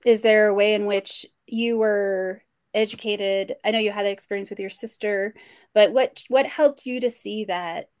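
A woman interviewing in a gentle conversational way, phrasing questions tentatively and rewording them as she goes. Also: mid-range voice, steady tone, normal speed, clear, resonant tone.

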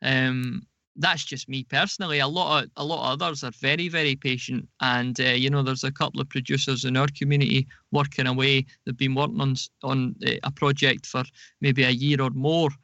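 A man's voice: medium pitch, little pitch movement, a little fast, pushed and bright, dry recording. A man speaking as a podcast guest, explaining things conversationally with asides, on a muffled recording. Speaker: guest audio drama producer.

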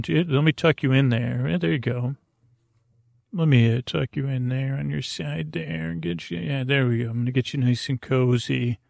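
A man hosting a sleep podcast with a creaky voice, rambling gently and haltingly through soothing, slightly silly tangents. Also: medium pitch, no room sound, clean studio sound. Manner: meandering, halting, frequent tangents. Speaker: podcast host. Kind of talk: rambling bedtime monologue to lull listeners to sleep.

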